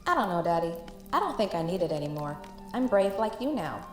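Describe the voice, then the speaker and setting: A woman's voice: fairly low pitch, very animated, brisk, soft background music. A woman voicing a small frightened boy in a spoken horror story. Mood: eerily calm. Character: small frightened boy, later eerily calm.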